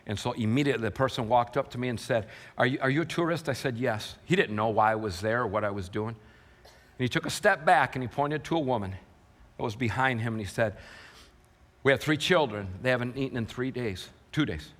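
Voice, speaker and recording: Impassioned preacher, bright voice. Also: male voice, mid-range voice, a little fast. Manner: swings from loud impassioned shouting to quiet hushed telling. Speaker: pastor. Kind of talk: sermon storytelling. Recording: long hall reverb.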